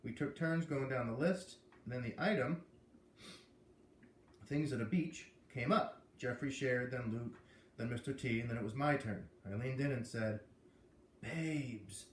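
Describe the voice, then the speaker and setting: A man reading a children's novel aloud in an expressive storytelling way. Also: medium pitch, moderate pace, slight background noise. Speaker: read-aloud reader. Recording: echoey room.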